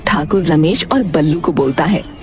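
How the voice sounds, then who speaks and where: A woman's voice: low voice, moderate pace, darkish tone. Woman narrating a story over music. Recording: music behind the voice.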